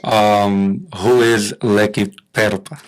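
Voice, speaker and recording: A man's voice: on the low side, fairly even intonation, slow. A man co-hosting a podcast, asking questions in a laid-back, casual way. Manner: laid-back, says "bro" a lot. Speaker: podcast co-host. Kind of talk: casual interview chat.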